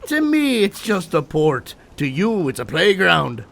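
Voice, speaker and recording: Animated, easygoing ship's captain. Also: male voice, high-pitched, unhurried, gravelly, slight background noise, exaggerated voice. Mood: fond, teasing. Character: easygoing older male ship's captain, fond and teasing. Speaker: actor playing a ship's captain. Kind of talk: period audio drama acting.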